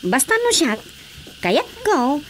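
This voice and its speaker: A woman's voice: high-pitched, huge pitch swings, slow, slight background noise, heavily acted, poor audio. A woman playing a blunt, teasing, childless village wife in an Ilocano radio drama comedy, with an Ilocano accent. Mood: plaintive.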